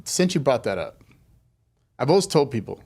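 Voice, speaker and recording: A man hosting an interview show in casual chat, informal and quick to exclaim. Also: mid-range voice, sing-song, darkish tone, breathy edge. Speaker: podcast host.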